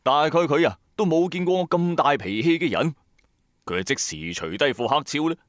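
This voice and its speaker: Animated Hong Kong Cantonese male storyteller. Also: a little high, moderate pace, dry recording, clean studio sound. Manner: expressive, chatty asides to the listener. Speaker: storyteller, narrator. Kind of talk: first-person dramatic audio storytelling, colloquial. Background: background music.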